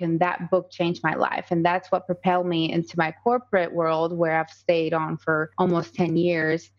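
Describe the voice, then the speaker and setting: A woman's voice: fairly low pitch, muffled recording. A woman in her thirties from Colombia with a Colombian accent, a native Spanish speaker, talking earnestly as a podcast guest with many fillers and restarts. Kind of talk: casual podcast conversation.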